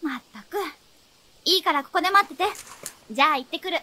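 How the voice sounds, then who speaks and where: Exasperated, bossy young female sidekick, high-pitched, brisk radio drama delivery. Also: sharp tone, a little hiss or hum. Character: strict, scolding young female travel companion.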